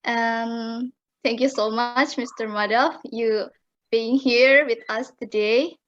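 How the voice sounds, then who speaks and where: A woman's voice: fairly high pitch, fairly even intonation, takes it slowly, dull audio. A teenage girl from Indonesia, English not her first language, asking questions hesitantly and politely. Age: teens. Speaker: student interviewer. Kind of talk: interview questions on video call.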